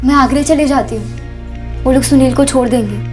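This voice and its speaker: A woman's voice: high-pitched, moderate pace, over music, close to an everyday voice. An actress playing a meek, apologetic young woman in a TV crime drama.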